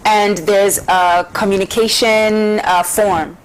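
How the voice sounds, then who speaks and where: A woman's voice: mid-range voice, steady tone, slow, poor audio. A woman, a guest on a TV talk show, speaking in an upbeat, emphatic and persuasive way. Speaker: guest, NGO founder. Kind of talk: casual TV chat.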